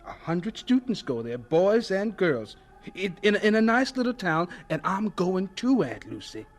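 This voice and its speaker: An actor playing an earnest, polite young American student in a radio drama, deferential and hesitant yet insistent. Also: male voice, high voice, sing-song, a little slow, heavily acted. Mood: earnest, determined. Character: earnest, polite, determined young male student running laundry.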